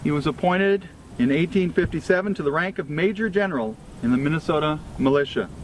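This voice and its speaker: A man reads a formal scripted historical tribute at an outdoor commemoration, delivering it phrase by phrase in a measured way. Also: on the high side, rough recording. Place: outdoors at a cemetery gathering. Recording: outdoor recording with clear ambient vehicle noise.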